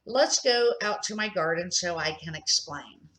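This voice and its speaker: A woman's voice: on the low side, expressive, measured pace, sharp tone. A woman reading the part of a kindly older male neighbor who patiently explains things to children in a storybook. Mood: explaining.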